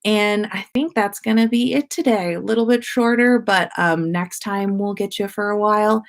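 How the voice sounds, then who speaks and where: A woman hosting a story-time livestream, speaking in an expressive, informal way as she reads and chats. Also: medium pitch, normal speed. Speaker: host of a fan fiction story-time livestream. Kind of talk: expressive story reading and casual livestream chat.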